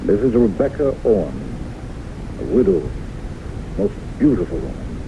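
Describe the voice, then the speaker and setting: An actor playing an earnest, smitten gentleman psychiatrist in an old-time radio drama, with theatrical, flowery delivery. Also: low-pitched, big pitch swings, measured pace, full character voice. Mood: earnest, wistful. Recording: muffled, noisy 1970s radio recording.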